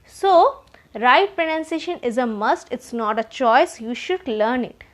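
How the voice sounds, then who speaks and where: A woman's voice: high voice, lively intonation, unhurried. A woman from India with a Bengali-tinged Indian English accent, explaining pronunciation as a spoken English trainer in an earnest, repetitive teaching manner. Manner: earnest, repeats key points, direct advice. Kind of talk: explanatory lesson to learners.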